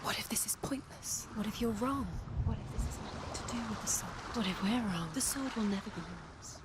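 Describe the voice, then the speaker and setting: A woman playing an anxious, taunting inner voice in a game, breathy, urgent and fragmented in delivery. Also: mid-range voice, expressive, a little fast, heavy background noise, close to an everyday voice. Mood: doubting, taunting. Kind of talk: dramatic game voice acting.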